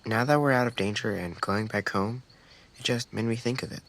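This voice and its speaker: A man's voice: mid-range voice, a little fast, a bit bright, close to an everyday voice. A man playing an earnest, thoughtful young boy survivor in a video game, speaking hesitantly. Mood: reflective, sincere. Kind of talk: naturalistic video game voice acting. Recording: noisy outdoor ambience with crickets.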